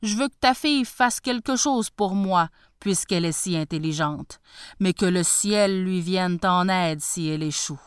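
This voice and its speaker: A woman's voice: fairly low pitch, a little slow, smooth, no echo, clean studio sound, close to an everyday voice. A woman voicing a vain, proud tsar who commands and threatens. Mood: threatening. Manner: haughty and commanding.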